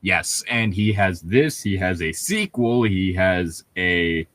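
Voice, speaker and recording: A man's voice: sits low, animated, slow, fairly bright tone. A man hosting a casual comedy read-along, bantering and reading aloud in a wry, joking, conversational way. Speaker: podcast host reading fan fiction aloud.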